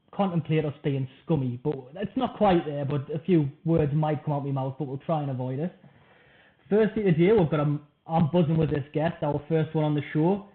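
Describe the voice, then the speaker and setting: A man with a North East English accent hosts a relaxed podcast, chatting eagerly and informally. His voice sits a little high for a man. The sound is thin and phone-like, as if coming down a call line. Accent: Wearside/Tyneside, from Washington. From North East England. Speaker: podcast host. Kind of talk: casual podcast chat.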